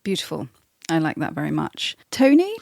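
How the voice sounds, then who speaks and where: A woman with a fairly low voice hosts an interview podcast. She speaks in an encouraging manner, introducing and questioning her guests. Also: big pitch swings, moderate pace, vocal fry, darkish tone. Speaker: podcast host.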